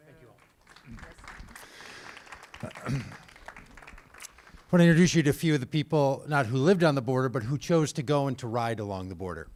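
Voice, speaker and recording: A man's voice: medium pitch, moderate pace, long pauses, a faint crowd. A man hosting a live event, talking casually and quizzing the audience. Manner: energetic, conversational, quizzing the audience. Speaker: host. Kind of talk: live event talk with trivia. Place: indoor event venue.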